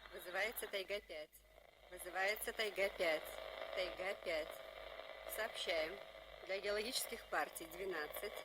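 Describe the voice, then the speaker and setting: A woman's voice: on the low side, close to an everyday voice. A woman playing a businesslike radio operator in a spy drama, dictating coded messages in a clipped, official, impersonal way. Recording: very noisy old TV series soundtrack.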